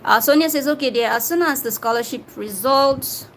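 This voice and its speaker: A woman's voice: high voice, brisk, a bit bright, a little hiss or hum. A young Nigerian woman with a Nigerian accent, hosting a casual live Q&A, reading out viewer comments and answering them directly. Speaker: livestream host answering viewer questions.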